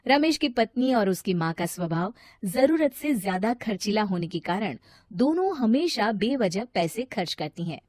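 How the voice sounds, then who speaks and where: A woman's voice: mid-range voice, very animated, normal speed, darkish tone, no room sound, clean studio sound. A woman reading scripted story narration as the storyteller.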